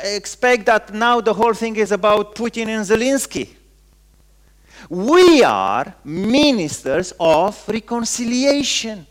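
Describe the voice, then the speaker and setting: A man preaching with a Romanian accent, his voice pitched well above the usual male range. He delivers the sermon as a pastor would, in short emphatic phrases, often pausing and restating a word to give it weight.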